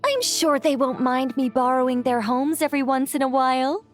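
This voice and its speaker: A woman's voice: high voice, animated, normal speed. An actress playing a whimsical, composed female mage in a video game, with a leisurely, musing and playfully evasive manner. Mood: breezy, cheerful. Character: whimsical, composed female mage, once an angel, young-sounding. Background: background music.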